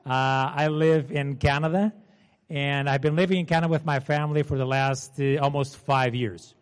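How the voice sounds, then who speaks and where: A man's voice: on the high side, steady tone, normal speed, clean tone. A man in his forties with a Portuguese accent, giving an informal seminar talk and often asking the audience to confirm. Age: 40s. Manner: chatty, asks the audience to confirm. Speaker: seminar presenter. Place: seminar room. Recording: long hall reverb.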